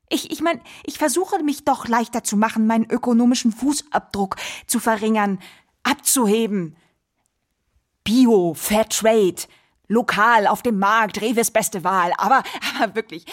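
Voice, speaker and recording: Anguished, guilt-ridden woman on stage. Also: fairly high pitch. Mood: apologetic, desperate. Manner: exclamatory, repetitive, swinging between whisper and outburst. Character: anguished, guilt-ridden woman activist, agitated and self-reproaching. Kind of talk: theatrical stage monologue.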